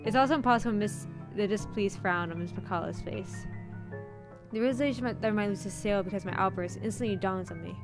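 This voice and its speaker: A woman with a mid-pitched voice reads visual-novel narration aloud as a streamer. She drops into casual asides, and music plays softly behind her. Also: quick pace. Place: indoor streaming room.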